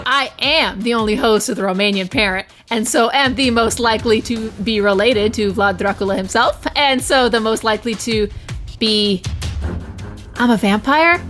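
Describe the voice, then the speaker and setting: A woman's voice: on the high side, normal speed, fairly bright tone, soft background music. A woman podcast host delivering brash, mock-serious comedic speeches.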